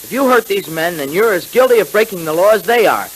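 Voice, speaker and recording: A man's voice: high voice, lively intonation, quick pace, low-quality recording. An actor playing an earnest, law-abiding boy sidekick in a 1930s radio adventure, speaking emphatically. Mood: earnest, firm. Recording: hissy 1930s radio recording.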